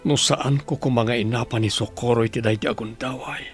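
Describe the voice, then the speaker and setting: A man's voice: mid-range voice, steady tone, fairly quick, faint music behind, natural voice. A man with an Ilocano accent acting an anguished, remorseful husband in a radio drama, in a pleading manner. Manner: pleading, prayerful. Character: anguished, remorseful husband praying for his sick wife.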